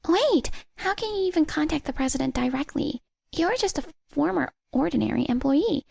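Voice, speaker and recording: Woman acting a high, whiny young mistress. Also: lively intonation, normal speed, gravelly, a little mellow, no echo, clean studio sound, exaggerated voice. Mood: indignant, whiny. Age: young adult. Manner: indignant, whiny, accusing. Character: shrill, flustered young mistress throwing a tantrum. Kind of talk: dramatised story dialogue.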